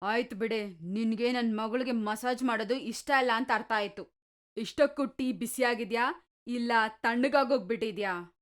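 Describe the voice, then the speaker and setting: A woman's voice: on the high side, normal speed, close to an everyday voice. A woman voicing a cruel middle-aged stepmother who scolds and orders her stepdaughter around. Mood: taunting. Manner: harsh, commanding, taunting. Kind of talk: cartoon story voice acting.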